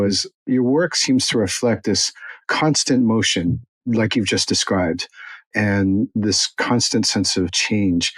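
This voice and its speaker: A man's voice: lowish voice, no echo. Polished male podcast host. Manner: measured, polished delivery. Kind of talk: scripted narration and interview questions.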